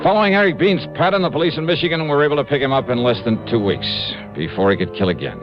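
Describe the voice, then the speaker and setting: A man's voice: a little high, quick pace, natural voice. A man playing a wry, breezy American insurance investigator who narrates his case in a 1950s radio detective drama. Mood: brisk, wry. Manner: breezy, clipped, wry, animated. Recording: dull old radio recording with music.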